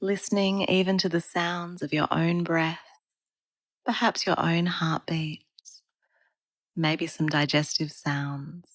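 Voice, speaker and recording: A woman guiding a relaxation practice as a yoga therapist, speaking in a calm, soothing, unhurried manner with pauses. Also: lowish voice, no room sound. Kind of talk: guided meditation narration.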